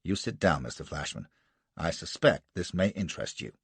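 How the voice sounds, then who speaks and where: A man reading aloud and voicing a gruff, blustering American colonel with a barking, bullying manner. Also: deep voice, very animated, moderate pace, no echo, pitched below the performer's own voice, exaggerated voice. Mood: brusque.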